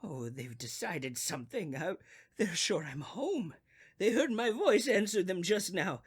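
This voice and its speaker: A man's voice: high voice, sing-song, unhurried, husky, full character voice. An actor playing a crotchety, panicky old invalid man in an old radio thriller, stammering and fretful in a melodramatic way. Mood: fearful muttering. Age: older.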